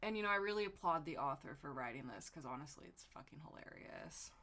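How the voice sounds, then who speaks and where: A woman's voice: sits low, animated, fast talker, bright, forceful tone. A woman co-hosting a comedy podcast, chatting casually and reading aloud in a giggly, irreverent, sweary way. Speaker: podcast co-host. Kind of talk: casual comedic chat and fan fiction reading.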